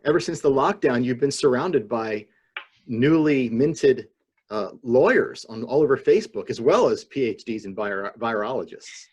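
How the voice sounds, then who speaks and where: Husky man with very animated pitch. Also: moderate pace. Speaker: podcast panelist. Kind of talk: casual comedic panel chat. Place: remote video call.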